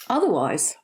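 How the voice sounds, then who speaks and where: A woman's voice: lowish voice, a little hiss or hum. Congested woman chatting to camera. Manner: chatty and candid, frequent ums. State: congested from a cold. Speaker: spiritual teacher and vlogger. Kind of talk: casual talk to camera.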